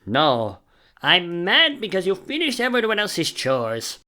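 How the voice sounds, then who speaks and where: A woman's voice: on the low side, huge pitch swings, slow pace, pushed and bright, exaggerated voice. A woman voicing an exasperated, responsible younger brother who scolds earnestly but cares, in a cartoon-style audio play. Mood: earnest, weary.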